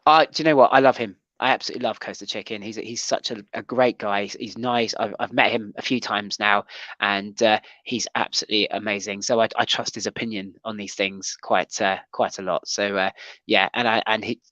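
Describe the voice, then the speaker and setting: A man's voice: fairly low pitch, steady tone, brisk, pushed and bright, no room sound, highs cut off. A man hosting a casual livestream chat show, talking with many ums and restarts. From Wales. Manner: hesitant, full of ums and restarts.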